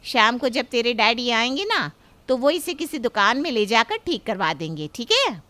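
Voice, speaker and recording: Woman as a high-voiced, placating young mother. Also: very animated, moderate pace. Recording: clear background noise.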